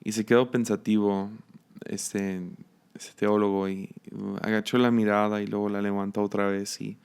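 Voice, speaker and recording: A man with a Mexican accent giving a reflective devotional teaching, musing aloud with restarts and soft laughs. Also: lowish voice, level tone, moderate pace, clear, resonant tone. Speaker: preacher.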